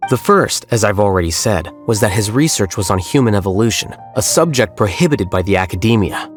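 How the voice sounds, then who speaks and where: An actor playing an aloof, blunt young scholar who speaks in a dry, matter-of-fact way in a game cutscene. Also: male voice, on the low side, expressive, a little fast, soft background music, close to an everyday voice. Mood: cool.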